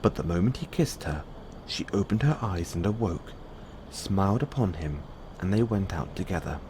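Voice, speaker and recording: Low-voiced man reading a bedtime tale. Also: expressive, moderate pace. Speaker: storyteller playing a boyfriend in audio roleplay. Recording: clearly audible background noise.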